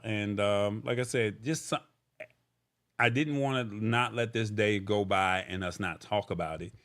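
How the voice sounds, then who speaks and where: Low-pitched, gravelly man hosting casually. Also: a bit bright. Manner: informal, conversational, thinking aloud. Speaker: live show host. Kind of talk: casual livestream talk, reading viewer comments.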